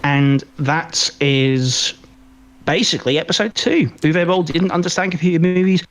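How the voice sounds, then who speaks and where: Higher-pitched man chatting about films. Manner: rambling, halting, often repeats words mid-sentence. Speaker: podcast co-host. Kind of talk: casual film review chat.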